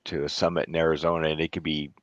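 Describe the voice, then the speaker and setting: Panelist, extremely deep voice, relaxed, muffled dry audio. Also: male voice, rapid-fire, dry recording. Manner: relaxed, filler-heavy, trailing off. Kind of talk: casual video call chat. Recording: dull, dry video call audio.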